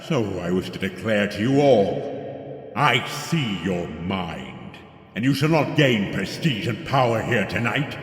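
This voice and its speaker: In a vast echoing hall, a tyrant king declaims with menace and grandeur. His voice is fairly low and swings dramatically in pitch, in fantasy radio-play style. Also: very animated, slow. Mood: wrathful, commanding. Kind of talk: fantasy audio drama acting. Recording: large echoing hall with long reverb.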